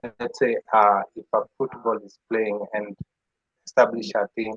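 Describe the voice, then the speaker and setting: Young man, low husky voice, hesitant delivery, dull dry call audio. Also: level tone, moderate pace, fairly bright tone, no room sound. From Kenya. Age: young adult. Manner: hesitant, with many uhs. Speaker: youth forum participant. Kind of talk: opening remarks on a video call.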